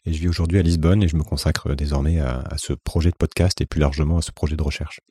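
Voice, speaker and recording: A man's voice: very deep voice, fairly even intonation, fast, warm tone, slightly rough, no echo. A forty-year-old man from the Paris region with a Parisian French accent, speaking as a podcast host in a conversational, reflective solo monologue with a self-effacing manner. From France, Paris region.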